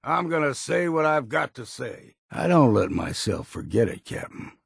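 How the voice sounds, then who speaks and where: Gravelly, weary old hunter. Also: male voice, mid-range voice, big pitch swings, dry recording, clean studio sound, heavily acted. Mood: guarded warmth. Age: older. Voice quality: gravelly, husky, weathered. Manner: blunt, grumbling, slow folksy talk. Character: gruff, weary old hunter and drinker, curmudgeonly but loyal. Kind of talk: video game companion dialogue.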